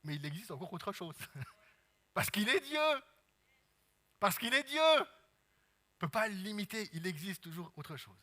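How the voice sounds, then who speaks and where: A Swiss French pastor preaching in a high, very bright voice. He scatters amens and hallelujahs through his sermon. His delivery swings from hushed asides to loud, driving passages. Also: big pitch swings, a little slow, pauses often.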